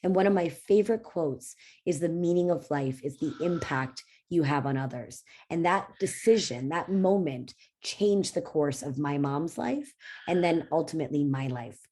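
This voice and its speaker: A middle-aged woman speaks from a conference stage, giving a personal keynote. Her voice sits low and mellow. She tells her story earnestly, with frequent ums and small restarts. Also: animated, moderate pace, slightly rough.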